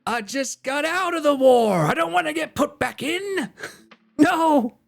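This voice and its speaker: A man's voice: extremely high pitch, expressive, unhurried, creaky, faint music behind. A man streaming live, chatting casually with viewers in a joking, theatrical way and swearing freely. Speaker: streamer and cameraman.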